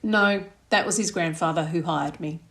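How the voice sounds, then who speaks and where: Woman as an aggrieved, smooth young charmer. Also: lowish voice, moderate pace, a bit bright. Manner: indignant, self-justifying.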